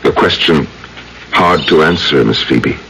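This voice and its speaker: An actor playing a courteous, wry and mysterious lodger in an old-time radio drama. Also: male voice, a bass voice, measured pace, gravelly, bright, forceful tone, slight background noise, full character voice, poor audio. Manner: courteous, enigmatic.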